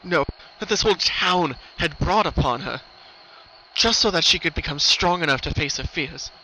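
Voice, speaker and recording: Bright-voiced man narrating dramatically. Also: on the high side, animated, normal speed. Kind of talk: dramatic scripted story narration. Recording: dull lo-fi recording, background noise. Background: noise.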